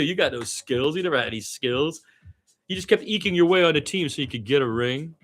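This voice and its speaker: A man calling basketball as a partisan radio play-by-play announcer, blunt and opinionated, animated in his delivery and repeating phrases for emphasis. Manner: animated, swinging from low asides to loud high calls.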